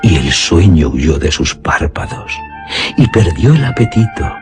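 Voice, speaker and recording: A man's voice: extremely low pitch, sing-song, measured pace. A man narrating a literary radio drama in a solemn, theatrical, emphatic manner. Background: music.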